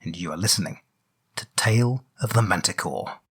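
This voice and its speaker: A man's voice: sits low, lively intonation, normal speed, background noise. A man reading a scripted introduction as a guest announcer for a podcast.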